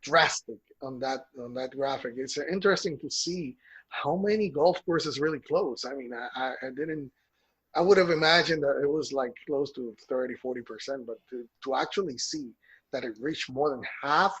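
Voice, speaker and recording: Husky man walking through a chart. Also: mid-range voice, moderate pace, fairly bright tone, poor audio. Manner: explains a chart step by step, with restarts. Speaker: co-host of a golf news show. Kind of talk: casual chat.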